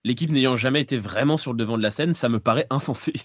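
Podcast host, male, relaxed and teasing, dry close sound. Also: mid-range voice, fast talker, no room sound. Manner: relaxed, teasing, reads out listener questions. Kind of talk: casual podcast chat.

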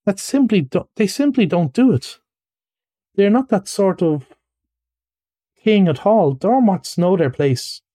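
A man acts out a grumbling storybook mole, pitched well up from a natural speaking voice. The tone is mellow but plaintive and huffy, full of self-pity and pettish, literal-minded objection. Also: expressive, normal speed, dry recording, studio quality, natural voice.

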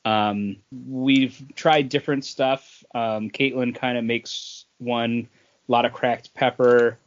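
A man with a medium-pitched voice explains things casually as a podcast co-host. His delivery is conversational and informal. The sound is somewhat dull and lo-fi. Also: steady tone, slow pace.

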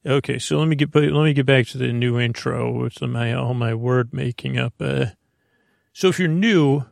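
A man with a medium-pitched, heavily creaky voice delivers a bedtime podcast monologue. His manner is soothing and meandering, with stammers and sentences that break off and restart. The sound is very dry, with no room echo. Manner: soothing, meandering, halting with frequent stammers and self-interruptions. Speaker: podcast host.

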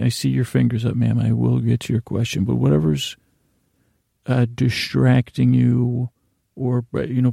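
A man hosting a bedtime podcast with a rambling, comedic monologue, halting and circling back as he stumbles over words. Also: medium pitch, gravelly, warm tone, no room sound, clean studio sound. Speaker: podcast host.

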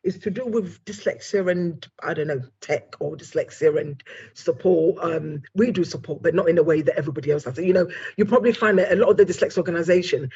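A woman's voice: lowish voice, fast, warm tone, breathy edge, muffled recording. A woman hosting an interview, chatting casually and rambling with asides. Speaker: podcast host.

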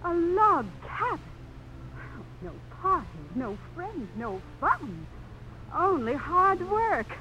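A theatrical young society belle. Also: female voice, very high voice, sing-song, slow pace, heavy background noise, heavily acted. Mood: wistful, doubtful. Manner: theatrical, coquettish, haughty. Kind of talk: 1950s radio drama acting. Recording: old radio broadcast with music.

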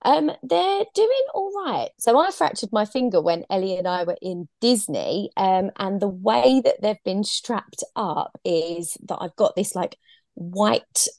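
A woman's voice: mid-range voice, lively intonation, unhurried, gravelly, a little mellow, dry recording. Young woman, podcast co-host, chatty, hesitant fillers, dry sound. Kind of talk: casual chat among friends.

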